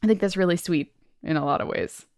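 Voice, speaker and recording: A woman's voice: fairly low pitch, brisk, darkish tone, no echo, studio quality. A woman co-hosting a podcast, reading listener stories aloud and chatting in a casual, colloquial way. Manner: colloquial, peppers speech with "like". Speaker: podcast co-host.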